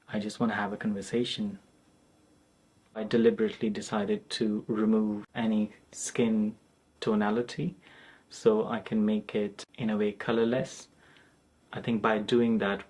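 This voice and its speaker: A man, an artist, speaking reflectively and hesitantly in a documentary interview, with many pauses and restarts. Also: fairly low pitch, a little mellow, low-quality recording.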